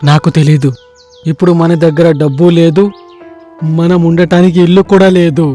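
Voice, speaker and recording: A self-justifying, grasping moneylender from a cartoon folk tale, acted by a man. He talks insistently in a high male voice over quiet music. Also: steady tone, normal speed, natural voice. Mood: anxious.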